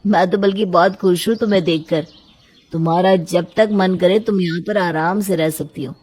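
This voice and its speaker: A woman's voice: on the low side, fairly even intonation, normal speed, darkish tone, a little hiss or hum, natural voice. A woman voicing a devoted, needy young man in a storybook tale, speaking in an earnest, plaintive way. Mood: pleased, surprised. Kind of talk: acted storybook dialogue.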